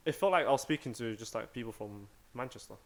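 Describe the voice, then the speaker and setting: A young man co-hosting a podcast, chatting in a relaxed, conversational way. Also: medium pitch, fast. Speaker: podcast co-host. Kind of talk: casual chat.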